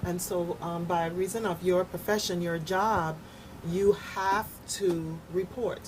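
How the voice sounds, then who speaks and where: A woman's voice: on the low side, fairly even intonation, slow, poor audio. A woman explaining her points in an articulate, firm way during a panel discussion. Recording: faint background noise, room ambience.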